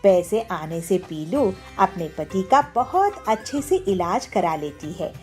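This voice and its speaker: A woman's voice: on the low side, big pitch swings, unhurried, a little mellow, faint music behind. A woman reading scripted children's story narration.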